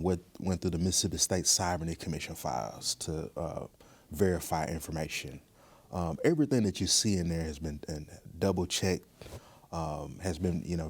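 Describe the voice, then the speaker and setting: A man answering as an interview guest in a measured, explanatory way. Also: low-pitched, dry recording. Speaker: museum director, podcast guest. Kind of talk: conversational interview.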